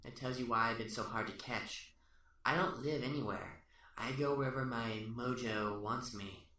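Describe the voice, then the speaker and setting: A man's voice: medium pitch, moderate pace, a little hiss or hum. A man playing a smug, sadistic serial killer who taunts the police with mock politeness in a radio drama. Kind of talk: amateur radio drama acting. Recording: roomy, distant, under loud music.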